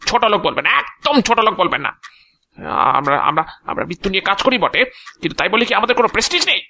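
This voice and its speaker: A man acts out an agent of Death in an audio drama, proud of his job and quick to take offence. The voice is bright and animated, recorded close and dry. The delivery is theatrical and self-important. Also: sits high, no room sound, heavily acted. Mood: indignant.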